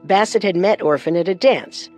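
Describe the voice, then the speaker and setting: A woman's voice: on the low side, normal speed, darkish tone. Serious woman narrating true crime over music. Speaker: co-host narrator.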